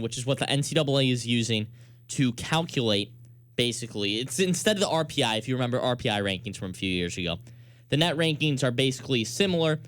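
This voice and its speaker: A man's voice: mid-range voice, fairly bright tone. An American man hosting a sports radio show, debating emphatically and laying out his case point by point.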